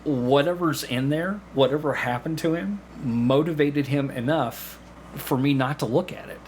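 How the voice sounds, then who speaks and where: A middle-aged man speaking as an interview guest, explaining things conversationally with pauses mid-sentence. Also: on the high side, a little mellow, slight background noise. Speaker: podcast guest, actor and hypnotist.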